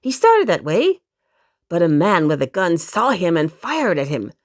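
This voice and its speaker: A woman's voice: lowish voice, huge pitch swings, a little slow, no echo, clean studio sound. A woman narrating a children's storybook expressively, speaking directly to young listeners with dramatic emphasis.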